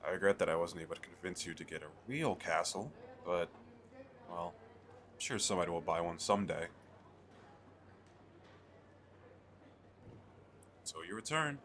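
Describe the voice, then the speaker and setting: Very deep-voiced, coaxing fantasy merchant, acted by a man. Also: animated, brisk, fairly bright tone, a little hiss or hum. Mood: pleased, friendly. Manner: coaxing salesman, conversational, hesitant asides. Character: eager, chatty male fantasy merchant selling magical crystal castles. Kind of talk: ASMR audio roleplay sales pitch addressed to the listener.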